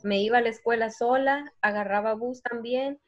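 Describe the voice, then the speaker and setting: Higher-voiced woman chatting, muffled recording. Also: steady tone, moderate pace. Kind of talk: casual personal anecdote.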